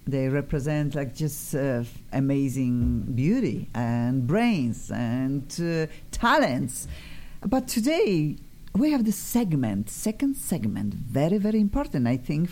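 A woman's voice: rumbling low, dark tone. A woman hosting a studio talk show, chatty and effusive, often restarting her sentences. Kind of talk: lively studio chat.

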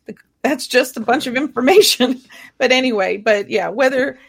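Chatty gravelly woman podcast co-host. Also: high-pitched. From Ohio. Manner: animated, chatty, quick asides. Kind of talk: casual podcast chat.

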